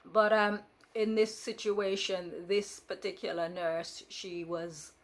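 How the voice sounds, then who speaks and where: A woman's voice: mid-range voice, little pitch movement, takes it slowly. Frank woman in her fifties, rambling. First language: Jamaican Patois. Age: 50s. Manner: rambling, many fillers and restarts; frank and firm. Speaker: vlogger. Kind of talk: casual storytelling monologue.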